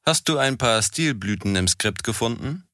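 Bright-voiced man asking questions. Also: medium pitch, lively intonation, normal speed, no room sound, clean studio sound. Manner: short, direct questions. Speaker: interviewer. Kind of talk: reading prepared questions.

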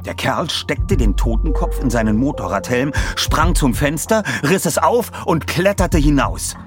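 A man's voice: fairly high pitch, lively intonation, a little slow, music in the background. A man presenting and narrating in a scripted style as a podcast host and reader.

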